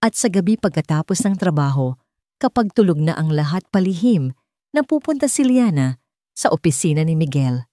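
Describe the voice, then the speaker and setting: A woman's voice: low-pitched, very animated, normal speed, mellow, no echo, studio quality. A woman reading a scripted dramatic story as an audiobook narrator.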